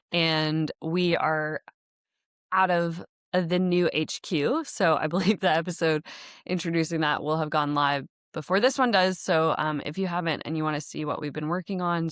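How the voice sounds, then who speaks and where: A woman's voice: deep voice, no echo, studio quality. A woman whose first language is Dutch, raised in the United States, hosting a podcast in a chatty, rambling solo talk full of fillers and light laughs. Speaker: podcast host and startup founder. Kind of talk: casual solo podcast monologue. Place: podcast studio in an office space.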